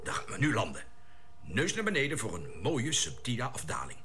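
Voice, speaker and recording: Spaceship captain in old radio sci-fi, terse n bossy, pitch moves a lot, noisy recording. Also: male voice, wildly swooping pitch, a little fast. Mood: firm, commanding. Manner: terse, commanding delivery. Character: commanding male spaceship pilot captain, decisive, responsible. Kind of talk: Dutch science-fiction radio drama acting.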